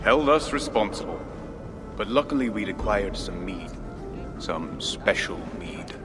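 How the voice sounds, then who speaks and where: Slow-talking, sour dwarf rogue. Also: male voice, on the low side, animated. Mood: grumbling, sly. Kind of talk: video game cinematic acting. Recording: music under the voice. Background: cinematic game music.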